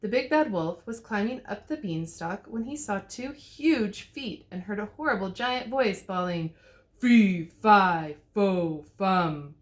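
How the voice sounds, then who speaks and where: A woman narrates a children's fairy tale in an expressive storytelling manner. Her mid-pitched voice rises and falls a great deal. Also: moderate pace, few pauses. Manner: expressive, clearly paced storytelling. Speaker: teacher reading to second graders. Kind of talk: storybook read-aloud narration.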